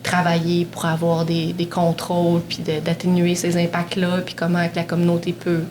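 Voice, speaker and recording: A woman with a Quebec French accent, speaking haltingly as a panel guest with many fillers and repeats. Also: on the low side, completely flat delivery. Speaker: panel guest, community relations director. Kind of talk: conversational interview. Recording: noisy room recording.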